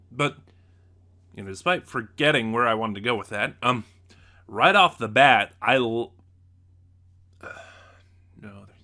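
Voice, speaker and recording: A man giving a casual, unscripted review as a reviewer, speaking haltingly and often losing his thread. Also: medium pitch, pushed and bright. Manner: halting, loses his train of thought.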